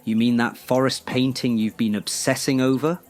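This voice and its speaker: A man's voice: mid-range voice, fairly even intonation, normal speed, darkish tone, natural voice. A man playing a proper, dry young man. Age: 20s. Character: polite well-off young British man. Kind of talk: audio drama acting.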